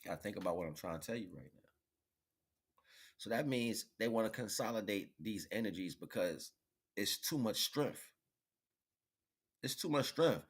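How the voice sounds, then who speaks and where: A man giving a rambling, informal spiritual monologue, preaching in an animated way with repeated phrases and slangy check-ins with his listeners. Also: fairly low pitch, normal speed, long pauses, husky. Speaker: spirituality vlogger, livestream host.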